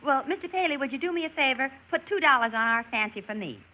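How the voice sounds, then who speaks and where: A woman's voice: sits high, animated, fast talker, bright, forceful tone, low-quality recording. An actress playing a wry, sensible, teasing female companion in a 1950s radio comedy. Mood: friendly.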